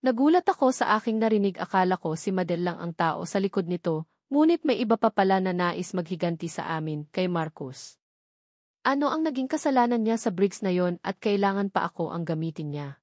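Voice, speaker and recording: A woman's voice: fairly low pitch, lively intonation, brisk, darkish tone, no room sound, studio quality. A woman reading a scripted novel aloud as a first-person story narrator. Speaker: audiobook narrator.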